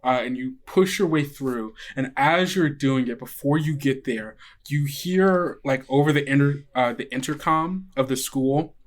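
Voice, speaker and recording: A man running a tabletop game as game master, narrating off the cuff in a casual, halting, colloquial way. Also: medium pitch. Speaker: game master and podcast host.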